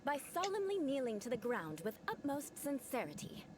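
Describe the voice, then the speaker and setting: A woman's voice: sits high, big pitch swings, normal speed, noisy background. A woman voicing a proud, formal young noblewoman knight in an anime-style video game, speaking in pompous, archaic phrases. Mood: haughty, formal.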